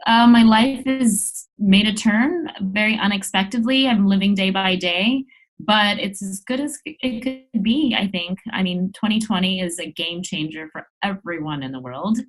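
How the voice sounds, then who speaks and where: A woman's voice: mid-range voice, normal speed, rough recording. Female interview guest, thirties, American. Conversational, open and talkative, mid-range voice. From Los Angeles, United States. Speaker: interview guest, travel blogger.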